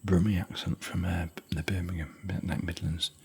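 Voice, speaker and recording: A man whispering softly in a rambling, chatty, gentle way while hosting an ASMR hotel room tour. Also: sits low, level tone, normal speed, slightly rough. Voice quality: soft whisper.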